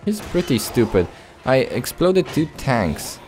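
A man's voice: mid-range voice, big pitch swings, takes it slowly, darkish tone, faint music behind. A man giving casual, playful video game commentary, joking and muttering reactions as he plays. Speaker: Let's Play host. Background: video game sounds.

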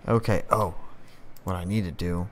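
A man's voice: sits low, steady tone, moderate pace. A man giving casual gameplay commentary as a video host, often in short, clipped commands to his units. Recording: clear background noise under voice.

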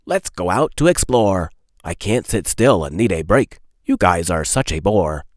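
A man's voice: sits low, big pitch swings, measured pace, dry recording, clean studio sound. A man voicing a restless, carefree young male dog in a children's storybook, with a casual, breezy manner.

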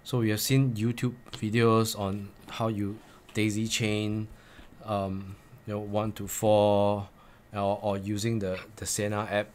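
A man's voice: lowish voice, little pitch movement, slow pace, darkish tone, slight background noise. A man in his forties presenting a step-by-step tutorial in a plain, instructional way, repeating key points for emphasis. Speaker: presenter of motorcycle gear tips.

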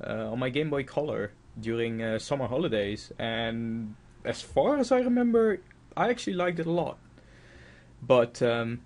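Rambling Dutch-accented young gamer. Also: male voice, mid-range voice, darkish tone. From Netherlands. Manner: rambling, self-mocking, many fillers. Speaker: let's play host. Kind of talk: casual game commentary. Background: video game music.

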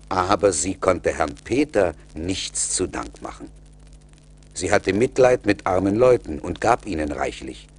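A man narrating a scripted story as a storyteller, measured and deliberate. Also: low voice, breathy edge.

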